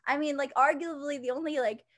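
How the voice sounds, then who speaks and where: A young woman with a high-pitched voice, chatting as an interview guest, with many fillers and restarts. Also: fairly even intonation, brisk, a bit bright. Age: young adult. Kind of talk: casual interview chat.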